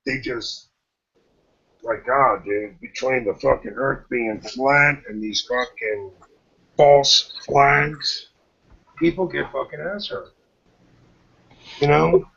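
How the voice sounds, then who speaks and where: A man's voice: medium pitch, slow pace, vocal fry, long pauses, sharp tone, husky, lo-fi sound. A man hosting a casual online voice chat, laid-back and swearing often.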